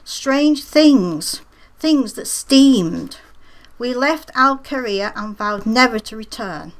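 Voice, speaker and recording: A woman's voice: a little high, expressive, slow. A woman playing a haughty queen of old Spain, pompous and regal, in a comic radio play. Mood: disdainful, pompous.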